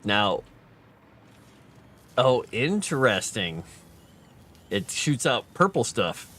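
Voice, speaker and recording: A man's voice: mid-range voice, sing-song, extremely slow, a bit bright. Tired man rambling through a game stream. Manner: thinking aloud, rambling, chatty with viewers. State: tired, fading late at night. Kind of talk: casual gameplay live stream commentary.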